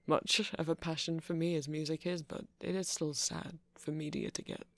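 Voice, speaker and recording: A man playing a nerdy vampire in an ASMR roleplay, rambling through explanations in a hesitant, meandering way with a creaky voice. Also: fairly high pitch, fairly even intonation, normal speed, fairly bright tone, no room sound. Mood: earnest, nerdy. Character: nerdy male vampire, rambling tech enthusiast, gentle boyfriend. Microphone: close mic, dry.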